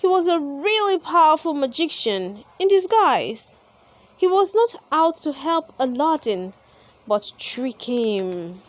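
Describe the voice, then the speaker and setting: A woman with a high voice reads a children's storybook aloud. Her pitch moves up and down in a lively way, and she takes her time, placing deliberate, dramatic emphasis on the words. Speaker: storybook reader and channel host. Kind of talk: expressive children's read-aloud narration.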